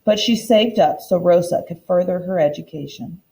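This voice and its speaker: A woman's voice: fairly low pitch, moderate pace, creaky, dark tone, rough recording. A woman teacher reading a children's book aloud and explaining it in simple terms for young listeners.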